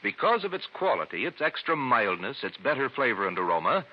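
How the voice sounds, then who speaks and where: An American man delivering an emphatic sales pitch as a 1950s radio commercial announcer. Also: big pitch swings, brisk, breathy edge. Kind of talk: 1950s radio cigarette advertisement. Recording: dull vintage radio recording.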